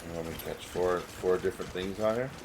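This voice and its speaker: A man's voice: low-pitched, quick pace, noisy background. A man with a stuffy nose giving casual, rambling gameplay commentary as a streamer, often confused by the controls and mocking himself. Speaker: gaming streamer. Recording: voice over game music. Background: game music.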